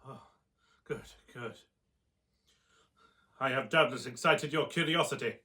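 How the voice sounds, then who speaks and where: A man's voice: medium pitch, unhurried, bright, forceful tone. A man acting a haunted, formal scientist telling his tragic tale in a radio drama. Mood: haunted, intense. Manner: formal, brooding, theatrical.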